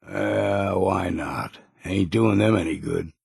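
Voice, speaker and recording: Game companion: weathered old seaman, gruff and folksy, heavy gravel, unhurried delivery with plain rustic phrasing. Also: deep voice, darkish tone, no echo, clean studio sound, heavily acted. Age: older. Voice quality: gravelly, raspy. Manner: folksy, rustic turns of phrase, dropped grammar. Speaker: video game companion character. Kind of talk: video game voice lines.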